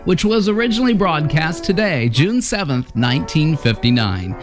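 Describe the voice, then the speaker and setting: A male host reads scripted narration in a slightly high, animated voice. Clear background music plays under him. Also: normal speed.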